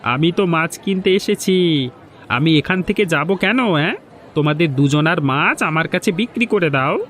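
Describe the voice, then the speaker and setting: A man's voice: a little high, lively intonation, normal speed, a bit bright, some chatter behind, a little hiss or hum. A man playing a bold, cheeky stranger visiting a village in a cartoon folk tale, with a confident manner. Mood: insistent. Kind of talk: Bengali animated folk-tale dialogue.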